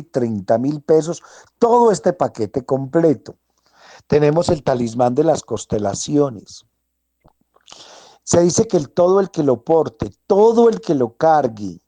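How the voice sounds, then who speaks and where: A man hosting a radio show, rattling off a sales pitch for tarot readings and cleansings, repeating addresses and prices and blessing his listeners. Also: dark tone, no echo. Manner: insistent, repetitive, blessing the listeners. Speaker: radio host selling esoteric services.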